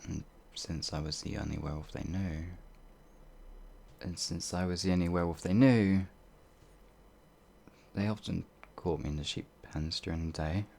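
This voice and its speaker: A man's voice: low voice, steady tone, moderate pace, creaky, pauses often, darkish tone, breathy edge, full character voice. A man playing a timid, apologetic werewolf farmhand in an audio roleplay, hesitant and rambling, stammering as he goes. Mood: meek, fearful. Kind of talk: ASMR audio roleplay monologue.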